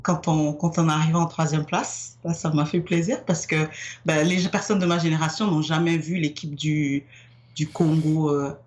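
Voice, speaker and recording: Candid young woman, lively answers. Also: deep voice, normal speed. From France. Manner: candid, animated answers with frequent fillers. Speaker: guest. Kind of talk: casual interview.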